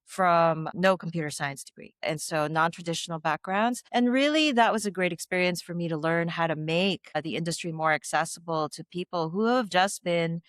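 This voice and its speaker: A middle-aged woman from the Philippines answers interview questions in an articulate, reflective way, now and then with a light laugh. Her voice sits low for a woman and sounds smooth and clear. It is recorded close and dry, with no room sound. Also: normal speed, few pauses, dry recording. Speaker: guest, former tech executive.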